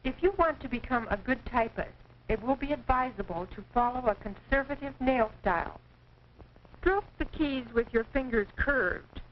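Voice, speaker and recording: High-voiced American woman narrating, old film soundtrack. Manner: precise, measured, instructive enunciation. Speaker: training film narrator and typing instructor. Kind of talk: 1940s instructional film narration, scripted. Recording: dull, noisy 1940s film soundtrack.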